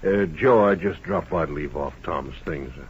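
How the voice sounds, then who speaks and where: A man's voice: extremely low pitch, moderate pace, slightly rough, full character voice. A man acting a courteous small-town sheriff with an American accent in an old radio western. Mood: subdued, courteous. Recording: muffled old radio recording.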